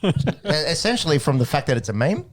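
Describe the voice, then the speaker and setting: A man's voice: fairly high pitch, sing-song, quick pace. A man co-hosting a casual sports podcast, rambling with restarts and jokes. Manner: rambling, restarts, jokey, laughs often. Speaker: podcast co-host.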